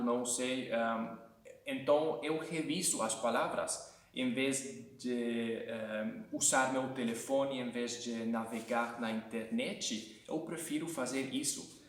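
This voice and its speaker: Hungarian guy giving language tips, lots of ums and restarts, sounds a bit far off in a roomy space. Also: mid-range voice. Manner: hesitant, many fillers and restarts. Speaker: language-learning video host. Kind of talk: casual language-tips tutorial. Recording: echoey room, mic at a distance.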